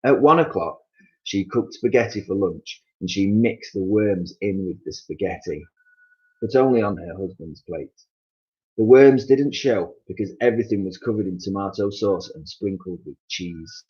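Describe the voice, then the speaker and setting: A man reading a children's story aloud as an expressive narrator, on a slightly muffled recording. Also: low voice, moderate pace.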